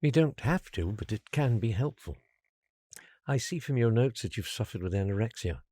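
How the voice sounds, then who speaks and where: A gentle therapist in an audio drama, with a mellow, husky voice. The pitch moves expressively, and the manner is patient and reassuring. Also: animated, normal speed, no echo, studio quality. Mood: encouraging, inquiring.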